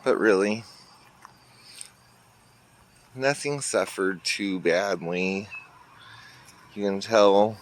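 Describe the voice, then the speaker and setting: Low-voiced man touring his garden. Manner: chatty, pointing things out to viewers. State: a little congested. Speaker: garden vlogger. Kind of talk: casual garden walkthrough. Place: outdoors in a garden near a road. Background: faint traffic and outdoor ambience.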